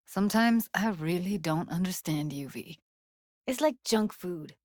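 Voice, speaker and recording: A woman's voice: on the low side, animated, a little slow, a little mellow, dry recording, clean studio sound. A woman voicing a young, streetwise techie in a video game, blunt and guarded with tenderness underneath, speaking in casual, clipped slang. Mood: frustrated, urgent. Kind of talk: naturalistic video game voice acting.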